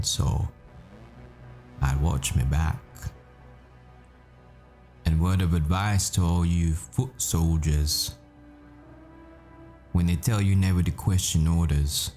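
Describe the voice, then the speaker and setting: Guy playing brooding assassin boyfriend in an audio roleplay, really low dark voice, talks slow, world-weary. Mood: menacing, defiant. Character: world-weary, foul-mouthed assassin boyfriend, confessional and grave. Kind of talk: dramatic audio roleplay monologue.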